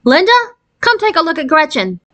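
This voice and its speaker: An earnest, worried father in a children's storybook, read by a woman. The voice is lifted in pitch and full of parental concern. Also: big pitch swings, brisk, bright, forceful tone, exaggerated voice. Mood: concerned, alarmed. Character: concerned, startled father of a young girl.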